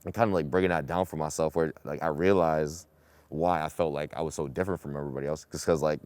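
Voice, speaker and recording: A young man from Boston with a very deep, low voice. He talks in long, loose runs packed with fillers. The sound is close and dry, with no room echo. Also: fairly even intonation, quick pace, darkish tone, clear, resonant tone. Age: young adult. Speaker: student panelist. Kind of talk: casual group discussion.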